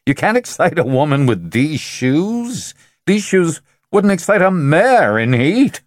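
A man voicing a blunt businessman who draws out his words slowly and deliberately. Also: a little high, big pitch swings, dry recording, clean studio sound, voice pushed up. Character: slow, blunt businessman, fashion coordinator.

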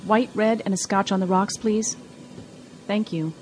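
No-nonsense, worried female companion for audio mystery, actress medium-pitched and chiding, over light background ambience. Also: fairly even intonation, a little slow, darkish tone, a little hiss or hum, natural voice. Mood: polite. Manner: serious, chiding. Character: Annie, no-nonsense, worried woman travel companion. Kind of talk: audio drama dialogue.

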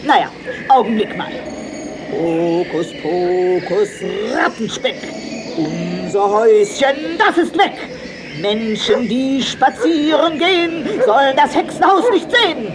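A woman's voice: on the high side, lively intonation, slow pace, crowd noise, poor audio. An actress playing a grumpy but caring old female witch, hundreds of years old, in a theatrical, scolding children's audio play style. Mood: grumbling. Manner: theatrical, scolding, swooping exclamations.

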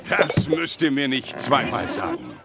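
An actor playing Störtebeker, a bold, boastful, hard-drinking medieval sailor, in an audio drama. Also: male voice, mid-range voice, very animated, unhurried. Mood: boastful, challenging. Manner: boastful, swaggering, blunt. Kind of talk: German audio drama acting. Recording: noisy tavern ambience behind.

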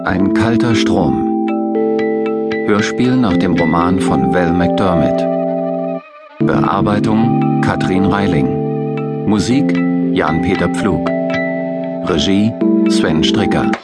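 A man's voice: low-pitched, slow pace, pauses often. A man announcing the title and credits of a German radio drama in a scripted style. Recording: loud synthesizer music behind.

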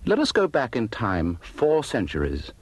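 A man reading a scripted history narration in a measured, formal manner with dramatic phrasing. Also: mid-range voice, wildly swooping pitch, very noisy.

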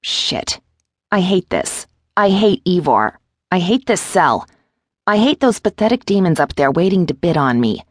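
A woman's voice: deep voice, expressive, normal speed, slightly rough, no room sound, clean studio sound. A woman narrator voicing a defiant, sardonic enslaved woman in a fantasy audiobook, with wry, blunt delivery. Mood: bitter, frustrated.

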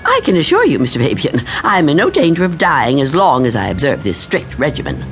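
An actress playing a frightened, suspicious shut-in old woman in a radio drama, fretful and halting, repeating herself. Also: low voice, huge pitch swings, music in the background, exaggerated voice. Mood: indignant.

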